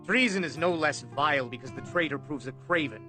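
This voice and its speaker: A man voicing a cold, imperious lord and royal minister, measured, formal and contemptuous, in an audiobook. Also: a little high, animated, over music, natural voice.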